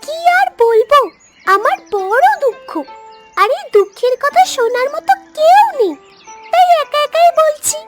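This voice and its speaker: A woman's voice: extremely high pitch, sing-song, measured pace, over loud music, exaggerated voice. A woman voicing a greedy, sulky young cartoon duckling who whines and complains full of self-pity. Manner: whiny, complaining.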